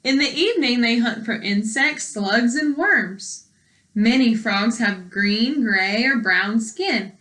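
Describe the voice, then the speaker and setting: Woman, bright voice, unhurried pace, teacher's read-aloud, instructive, questioning young listeners. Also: on the high side, expressive. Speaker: teacher reading a book aloud to young children.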